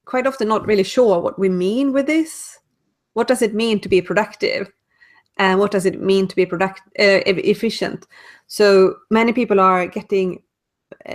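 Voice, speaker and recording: A woman with a Swedish accent, a guest answering interview questions over a video call, pausing to search for words. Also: mid-range voice. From Sweden. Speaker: guest, speaker and author.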